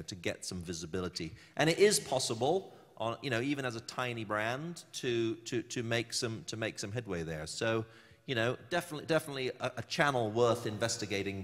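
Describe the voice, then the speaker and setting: A man speaking on a conference panel in a halting way, repeating words and leaning on "you know". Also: fairly low pitch, a bit bright. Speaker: panel speaker, brand representative. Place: conference hall. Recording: long reverb of a hall.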